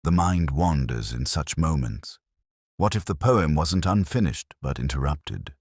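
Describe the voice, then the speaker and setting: A man narrates a detective mystery in the first person with a cavernously low voice. His reading moves through a wide, expressive range, measured and brooding. The sound is dry and close, with no room around it. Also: slightly rough.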